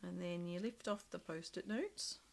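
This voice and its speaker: A woman with a somewhat low, slightly croaky voice runs a relaxed live craft demonstration. She talks things through as she works, full of small pauses and easy little laughs. A faint hiss of noise sits behind her. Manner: chatty, thinking aloud with many ums and small laughs. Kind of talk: casual live craft demo.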